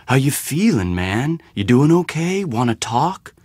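An actor voicing a guarded, weary young heir in a video game, with a casual, sarcastic, slightly awkward manner. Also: male voice, mid-range voice, big pitch swings, a little slow, darkish tone, breathy edge, dry recording, clean studio sound. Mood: casual, wry. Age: young adult. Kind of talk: video game dialogue acting.

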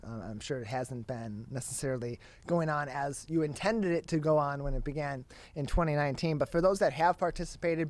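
A young man presenting as a talk show host in a broadcast style, close on a studio microphone. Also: a little high. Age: young adult. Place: small studio with curtain backdrop. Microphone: close studio microphone on boom arm.

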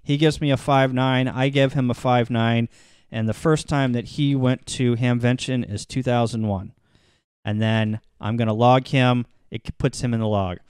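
A man narrating a casual software tutorial in an instructional, step-by-step manner into a close mic. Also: mid-range voice, steady tone, normal speed, clean tone, no echo. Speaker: tutorial presenter.

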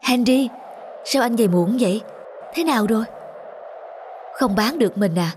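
A woman voicing a caring, worried mother, a poor cobbler's wife, in a cartoon drama, sounding soft-hearted and concerned. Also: a little high, normal speed, a little hiss or hum, lightly acted.

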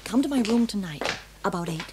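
A woman's voice: mid-range voice, animated, brisk, very noisy, close to an everyday voice. A woman playing an earnest, nervous young woman in an audio drama, urgent and secretive. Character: earnest, nervous young woman seeking her missing father.

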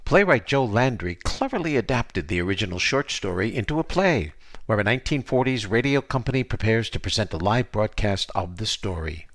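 A man's voice: lowish voice, sing-song, brisk, fairly bright tone. An older American man hosting an arts podcast, announcing and interviewing.

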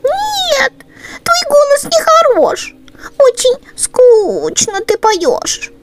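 Whiny baby mouse. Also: female voice, sing-song, loud music behind the voice, full character voice. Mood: whiny, fussy. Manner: fussy, squeaky, drawn-out complaining.

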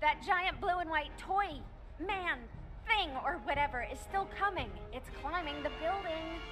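Casting: forthright young game heroine, high voice, composed and direct, score playing behind. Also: female voice, unhurried. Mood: alarmed. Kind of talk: video game voice acting. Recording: game music clearly behind.

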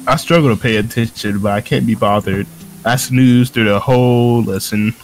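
A man's voice: mid-range voice, fairly even intonation, normal speed, soft background music, poor audio. A young man in casual, sweary gameplay commentary, reading a visual novel aloud with friends. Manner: sweary, slangy.

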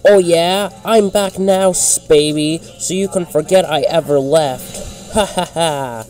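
A man's voice: fairly high pitch, slow, a little mellow, faint music behind, voice pushed up. A man voicing a cocky, easily offended male diesel engine with a brash, taunting manner in a children's story.

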